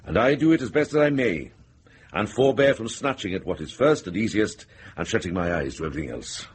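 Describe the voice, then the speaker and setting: An actor playing a wise older monk and herbalist in a radio drama, plain-spoken, measured and earnest. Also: male voice, lowish voice, expressive, close to an everyday voice. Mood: firm, earnest. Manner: measured, earnest, dryly authoritative.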